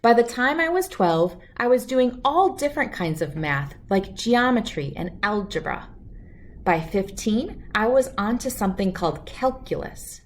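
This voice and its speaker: A woman reading a children's picture book aloud as an expressive storyteller. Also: fairly high pitch, measured pace, slight background noise.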